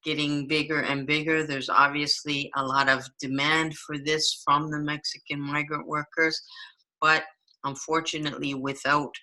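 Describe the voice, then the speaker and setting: Middle-aged woman, esl teacher, bright clear voice, calm careful explaining. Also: deep voice, normal speed, rough recording. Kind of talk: interview answers.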